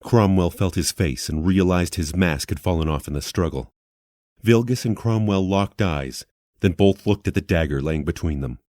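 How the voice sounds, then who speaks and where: A man narrating a scripted fantasy audio drama in a measured, dramatic storyteller's manner. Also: low-pitched, darkish tone, dry recording, clean studio sound.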